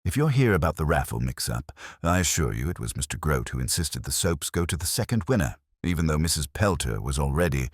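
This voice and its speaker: Storybook society columnist, female, eager and conspiratorial, played by a male narrator, very low-pitched, animated. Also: fairly quick, few pauses, slightly rough, no room sound, clean studio sound, heavily acted. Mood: bright. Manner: chatty, conspiratorial. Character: bright, eager young female gossip columnist.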